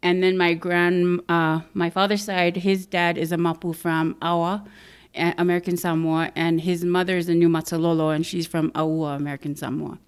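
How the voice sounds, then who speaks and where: A woman in her thirties from Hawaii, a podcast guest talking softly and thoughtfully in a casual interview, with light laughs. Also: lowish voice, level tone, moderate pace, clean tone.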